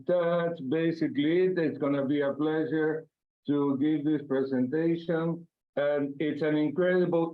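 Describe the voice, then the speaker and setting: A man from Argentina with an Argentine Spanish accent, a native Spanish speaker and psychiatrist introducing himself in a friendly, simple way. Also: on the high side. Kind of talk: self-introduction on a video call. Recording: phone-like audio.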